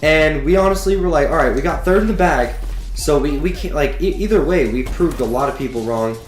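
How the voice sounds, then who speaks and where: A man's voice: a little high, a little fast, reverberant. A teenage boy whose voice sounds a bit sick, casually telling a story to his webcam with lots of fillers and restarts. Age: teens. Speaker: gaming YouTuber.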